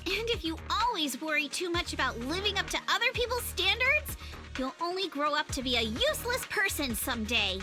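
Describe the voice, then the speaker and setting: A cheeky young anime game heroine with a high, very lively voice. She is blunt and breezy and likes to tease, over loud music. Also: brisk. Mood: blunt, scolding.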